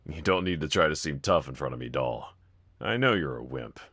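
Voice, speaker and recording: A very low-pitched, sardonic villain, acted out by a man in an intimate audio roleplay. He drawls casually and teasingly, with a bright, edgy tone and a quiet vulnerability underneath. The sound is close and dry. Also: animated, a little fast, no room sound, heavily acted. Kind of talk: fan audio roleplay, intimate one-sided dialogue.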